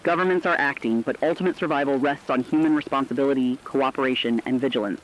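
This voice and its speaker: A man playing a sober, urgent radio correspondent, delivering a formal, deliberate scripted report on societal collapse. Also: medium pitch, close to an everyday voice. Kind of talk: scripted dramatic news report. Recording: dull lo-fi radio sound.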